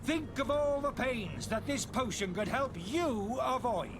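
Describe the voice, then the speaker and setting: Grandiose, high-voiced potion-peddling charlatan. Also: male voice, expressive, measured pace, background noise, heavily acted. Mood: showy salesman zeal. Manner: grandiose showman's sales patter. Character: smooth-talking male potion-peddling charlatan, pompous and theatrical. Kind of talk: video game voice acting.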